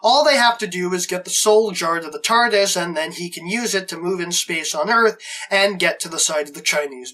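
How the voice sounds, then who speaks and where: A man's voice: high voice, sharp tone. A man hosting a review show, narrating a scripted plot recap.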